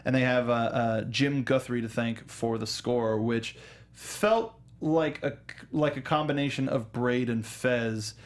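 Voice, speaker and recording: A man hosting an informal film review show, talking casually and haltingly with emphatic repetition. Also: medium pitch, fairly even intonation. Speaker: talk show host.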